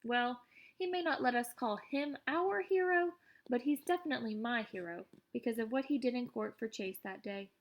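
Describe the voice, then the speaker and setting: Woman reading as an excitable teenage schoolboy, high voice. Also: moderate pace. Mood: earnest. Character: excitable, boastful, self-deprecating teenage schoolboy telling his story. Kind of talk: first-person audiobook narration.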